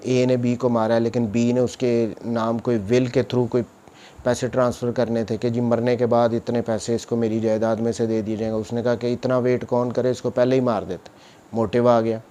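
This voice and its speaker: Pakistani man lecturing, mellow voice. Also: medium pitch. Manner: explains step by step with questions he answers himself. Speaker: law lecturer. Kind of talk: explanatory lecture mixing in English legal terms.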